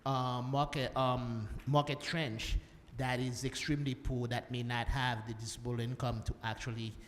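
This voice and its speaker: A man's voice: mid-range voice, level tone, moderate pace, a bit bright. A man from Haiti speaking on a conference panel, talking with many fillers and restarts. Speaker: panelist, social entrepreneur. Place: conference hall. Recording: long hall reverb, faint room noise.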